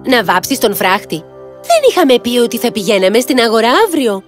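A woman playing a quick-tempered but tender-hearted young wife in a fairy tale, with emotive, expressive delivery. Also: fairly high pitch, faint music behind. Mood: annoyed surprise. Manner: emotive, expressive storybook acting.

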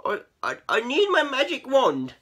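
Woman playing a squeaky, stammering shy cat. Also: very slow, bright, forceful tone, full character voice. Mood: flustered, stammering. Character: shy, silly, flustered male cat. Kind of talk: animated storybook read-aloud.

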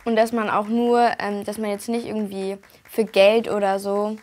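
A woman's voice: mid-range voice, level tone, normal speed, darkish tone. A young actress chatting casually in an interview, earnest and feeling her way through her phrases. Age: teens or young adult. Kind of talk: casual on-camera chat. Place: small cosy indoor room.

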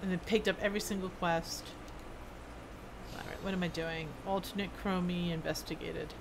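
A woman's voice: fairly low pitch, steady tone, normal speed, background noise. A woman streaming a game, thinking aloud in a casual, rambling, self-deprecating way. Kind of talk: casual live gameplay commentary, thinking aloud.

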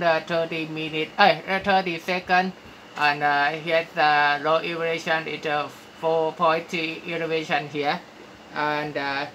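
A man with a Thai accent speaking halting, courteous English as an amateur radio operator, giving call signs and sign-offs. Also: a little high, pushed and bright, a little hiss or hum, low-quality recording. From Thailand. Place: small room at a radio desk, indoors.

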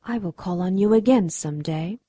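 A woman's voice: fairly low pitch, moderate pace, warm tone, breathy edge, no echo, studio quality. A woman playing an imperious, seductive demon in a radio drama, haughty and menacing. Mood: silky, sly.

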